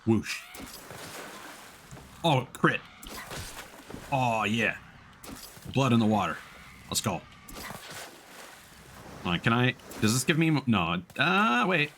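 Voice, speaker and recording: A man's voice: medium pitch, big pitch swings, slow pace, pauses often, a bit bright, a little hiss or hum. A man streaming a video game, giving casual live commentary as he plays.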